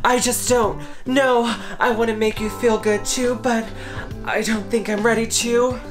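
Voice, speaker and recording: Woman doing a shy young priest's daughter, raised and breathy, timid, pleading, soft guitar behind. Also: fairly high pitch, fairly even intonation, unhurried, pitched above the performer's own voice, close to an everyday voice.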